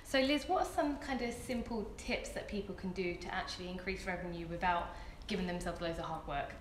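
A young woman hosting a casual interview for a beauty magazine, friendly and quick with short backchannels. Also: lowish voice. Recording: echoey room, faint background noise.

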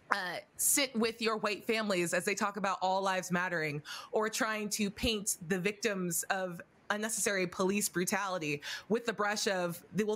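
A woman's voice: mid-range voice, a bit bright. A young woman on a headset at home, speaking in a mid-range voice. She lays out her argument step by step, pointed and controlled, in an earnest panel discussion. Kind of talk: impassioned roundtable discussion. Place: home room, indoors.

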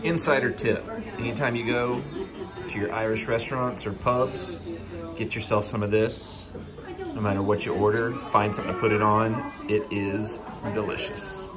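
A man's voice: fairly low pitch, fairly even intonation, normal speed. A man in his thirties chatting casually to a handheld phone as a vlogger, in a jokey, teasing way. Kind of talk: casual vlog chat. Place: small pub booth. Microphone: handheld phone camera. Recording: busy restaurant chatter behind.

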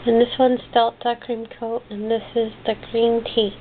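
A woman giving a casual, halting show-and-tell of her craft haul, trailing off and rephrasing as she goes. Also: on the high side, little pitch movement. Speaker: hobby crafter showing purchases. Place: indoors at a desk. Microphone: handheld camera's built-in microphone. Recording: muffled phone-like sound, clicky background noise.

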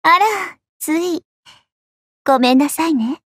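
A woman's voice: high voice, a little slow, no room sound, studio quality. An actress playing a dainty, girlish goddess in an anime game, elegant, coy and teasing, with polite ladylike phrasing. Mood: playful, coy. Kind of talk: anime mobile game battle voice lines. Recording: game audio with music behind.

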